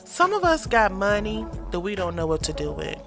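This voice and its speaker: A woman's voice: mid-range voice, sing-song, moderate pace, creaky, over music. A woman co-hosting a podcast with her husband, talking in casual political chat, listing points wryly with sarcasm and frequent fillers. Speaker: podcast co-host.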